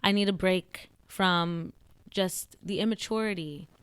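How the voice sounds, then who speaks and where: A woman's voice: fairly low pitch, a little slow, clear, resonant tone, no echo. A woman in her twenties, a podcast host being interviewed, talking in a chatty, candid way and laughing often. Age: 20s. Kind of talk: casual chat.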